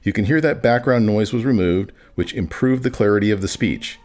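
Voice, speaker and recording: A man with a fairly low voice narrates a software demonstration. He reads from a script as a tutorial presenter. Music plays behind him. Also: a little fast. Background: background music.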